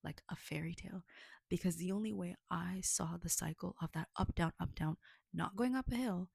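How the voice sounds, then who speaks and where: Woman, low gravelly voice, casual and earnest, rambles and checks in with listeners; dry close recording. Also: moderate pace, a little mellow, no room sound. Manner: rambling, earnest, frequent "okay?" check-ins. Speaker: storyteller reflecting on a fable. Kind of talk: casual spoken reflection to listeners.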